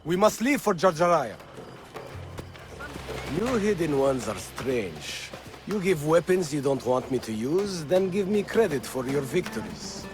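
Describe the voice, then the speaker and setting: A fiery rebel leader. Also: male voice, on the high side, big pitch swings, measured pace. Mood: fierce. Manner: fierce and commanding. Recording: game audio with music.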